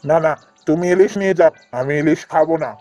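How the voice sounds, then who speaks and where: A man's voice: on the high side, a little fast, dark tone, highs cut off, soft background music, lightly acted. A man voicing a stubborn, grumbling young village husband and elder brother in a cartoon fairy tale, scolding and complaining. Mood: annoyed.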